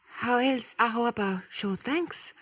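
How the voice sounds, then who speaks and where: Slow, husky, earnest young maiden. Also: female voice, on the high side, a bit bright, no echo, low-quality recording. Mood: earnest, grateful. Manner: halting broken English. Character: earnest young Native maiden, quietly determined. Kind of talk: 1950s radio drama acting.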